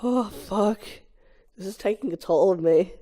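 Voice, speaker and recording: A man's voice: high voice, measured pace, mellow. A man reading a script informally as an amateur, with a weary, exasperated, crude manner.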